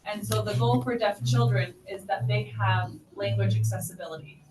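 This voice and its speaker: A woman's voice: medium pitch, steady tone, moderate pace. Deaf woman teaching in an echoey hall. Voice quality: deaf speaker's voice. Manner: patient, explains step by step. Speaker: sign language teacher. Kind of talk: teaching a class, answering questions. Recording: echoey hall from far off, noisy.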